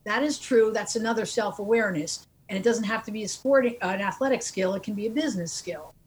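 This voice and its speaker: A woman's voice: medium pitch, fast, slight background noise. A woman life coach answering interview questions in an earnest, encouraging way, laughing easily.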